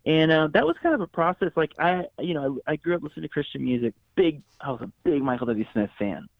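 A man from Florida with a slightly high, gravelly voice, telling his story in a loose, rambling way with frequent restarts and laughs. The sound is dry and thin, like a phone line. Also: big pitch swings, quick pace, no echo. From Florida, USA. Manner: rambling, self-correcting, laughs easily. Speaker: guest songwriter and producer. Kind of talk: casual interview chat.

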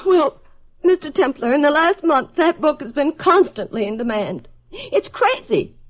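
An actress playing a naive, panicky young bookstore owner in 1950s radio drama, breathless and insistent. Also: female voice, high-pitched, big pitch swings, unhurried. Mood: agitated. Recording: muffled old-time radio recording.

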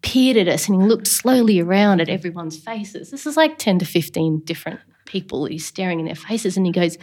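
A woman's voice: fairly low pitch, lively intonation, a little fast, darkish tone. A woman hosting a conversational podcast, telling stories reflectively with small restarts. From Australia. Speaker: podcast host.

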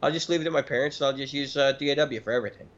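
A man's voice: mid-range voice, steady tone, very fast, dull audio. A man in his early thirties chatting casually and emphatically as a talkative podcast co-host. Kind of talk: casual chat about gear and recording.

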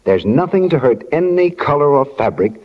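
A man's voice: on the high side, sing-song, brisk. A man announcing advertisements in 1940s radio style with a polished sales delivery. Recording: muffled 1940s radio recording.

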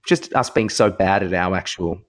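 Young Australian male podcast co-host, slightly deep voice, blunt casual comic banter. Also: normal speed. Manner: teasing, blunt.

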